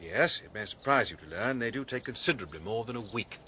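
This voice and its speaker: An actor playing a dry, sardonic and reluctant middle-aged English gentleman historian in a radio drama. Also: lowish voice, animated, quick pace, dull audio, slight background noise. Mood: wry, weary.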